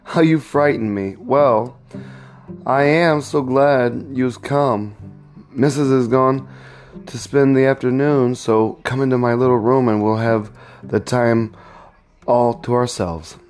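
A man's voice: medium pitch, measured pace, faint music behind, close to an everyday voice. A man voicing an anxious, tender young mother in a storybook. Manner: pleading, emotional.

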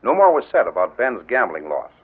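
A man narrating an old radio drama in an even, storytelling manner. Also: mid-range voice, fairly quick, breathy edge, narrow, tinny audio. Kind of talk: scripted narration. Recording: thin old radio broadcast.

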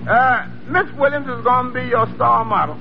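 An actor playing a polite, wry employee who gently needles his boss in a 1940s radio comedy. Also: very high voice, normal speed, creaky, faint music behind. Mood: wry, teasing. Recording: muffled old radio recording.

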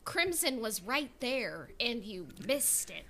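A woman's voice: on the high side, very animated, takes it slowly, pushed and bright. A woman co-hosting a podcast in casual group banter, wry and thinking things over as she talks. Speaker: podcast co-host.

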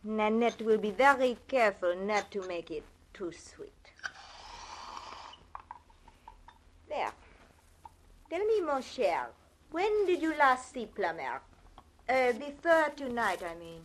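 A woman's voice: fairly high pitch, very animated, measured pace, a little hiss or hum. A woman playing a seductive, dangerous femme fatale with a thick French accent and purring, theatrical delivery, in 1940s-style radio drama. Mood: coy, purring.

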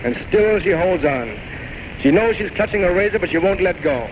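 Tormented drunken writer, wildly swooping pitch. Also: male voice, animated, normal speed, highs cut off. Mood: weary. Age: 30s. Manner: rambling, theatrical, bitterly self-mocking monologues. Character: tormented alcoholic failed writer, 33, grandiose and self-loathing. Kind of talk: 1940s-1950s radio drama acting. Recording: noisy old radio broadcast recording.

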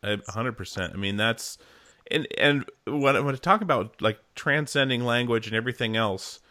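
A man's voice: mid-range voice, moderate pace, bright, forceful tone, no room sound, clean studio sound. A man hosting a podcast, asking long rambling questions with many hesitations in casual chat. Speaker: podcast host.

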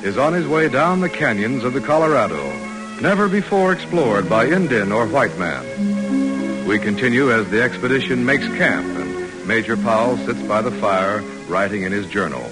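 A man's voice: medium pitch, lively intonation, moderate pace, lightly acted, low-quality recording. A man narrating an old-time radio drama in a dramatic scripted style. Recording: vintage radio recording under loud music.

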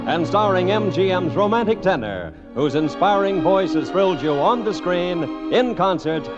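A man works as an old-time radio announcer, with a high, sweeping, showman's delivery. His formal introductions come through a dull vintage recording, with a loud orchestra playing behind him. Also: expressive, normal speed. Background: loud orchestral music.

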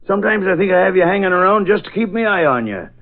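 A blustering cop, high in pitch and sardonic. He bosses and needles like a sergeant in a 1940s radio serial, over a thin, tinny old recording. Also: lively intonation, fast. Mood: mocking, irritated. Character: gruff, blustering Irish police sergeant, mocking and bossy.

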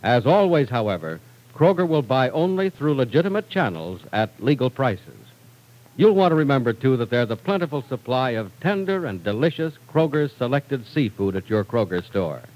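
A man's voice: medium pitch, very animated, normal speed, a little mellow, poor audio. A man announcing in polished, emphatic 1940s radio style, reading scripted narration and sponsor copy.

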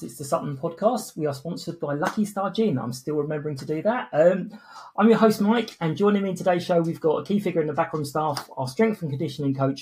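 A male podcast host with a slightly higher-pitched voice. He chats casually and jokes at his own expense, keeping the interview informal. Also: a little hiss or hum. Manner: jokey, self-deprecating, chuckling.

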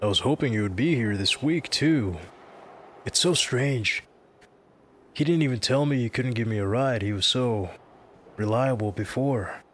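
An actor playing a calm, fair-minded middle-aged man who speaks in a measured, reasonable way. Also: medium pitch, a little hiss or hum, natural voice. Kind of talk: audio drama acting.

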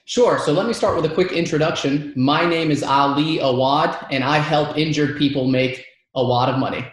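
A man in his thirties from Georgia, whose first language is Arabic, tells his story as a podcast guest. He is chatty and laughs often, and his voice sits somewhat high for a man. The recording is very echoey, as if made in a bare room. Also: normal speed. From Georgia, United States. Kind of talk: casual storytelling interview.

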